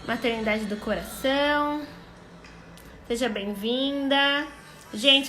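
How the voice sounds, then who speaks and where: High, bright-voiced Brazilian woman hosting. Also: reverberant. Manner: chatty, welcoming viewers by name. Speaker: live stream host, clinical psychologist. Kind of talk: casual live chat, greeting viewers.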